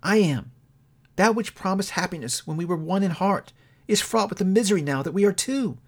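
A man reading a storybook as a fair young woman, gentle and sorrowful, speaking softly and earnestly in measured phrases. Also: high-pitched, lively intonation, voice pushed up.

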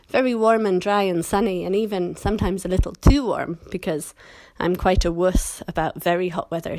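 A woman's voice: mid-range voice, no room sound. A middle-aged woman, an English teacher from Scotland, speaking standard British English with slight Scottish traces in a chatty, enthusiastic monologue. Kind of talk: casual recorded monologue.

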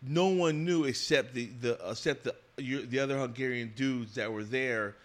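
A man's voice: mid-range voice, moderate pace. A man as an interview guest, talking frankly and at length, often repeating and restarting words as he builds a point. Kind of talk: casual podcast conversation.

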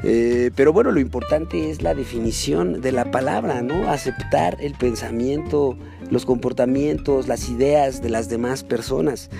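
A man's voice: mid-range voice, a little slow, vocal fry, music in the background. A man with a Mexican accent hosting a podcast, talking in a laid-back, slangy way. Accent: Mexican Spanish. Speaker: podcast host. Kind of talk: casual podcast chat and solo commentary.

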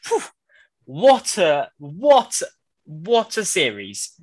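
A man's voice: fairly high pitch, wildly swooping pitch, drawn-out words, slightly rough, no echo. Bunged-up man hosting a podcast. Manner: upbeat, jokey, laughs often. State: congested, nasal from a cold. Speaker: podcast host. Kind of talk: casual chat.

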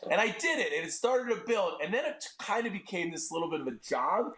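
A man's voice: high voice, echoey room. A man in his forties, a podcast guest talking casually and animatedly, joking and swearing about business and being a dad. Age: 40s. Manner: animated, swearing, quick to joke. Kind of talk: casual interview chat.

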